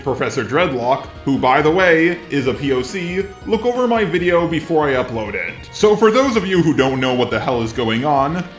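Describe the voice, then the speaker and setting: A man's voice: a little high, roomy sound. A synthetic text-to-speech man's voice narrating a scripted commentary video. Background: background music.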